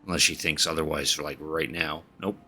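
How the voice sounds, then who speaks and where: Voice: a bass voice, steady tone, a little fast, sharp tone, a little hiss or hum. A game streamer talking casually and reading along during a live playthrough.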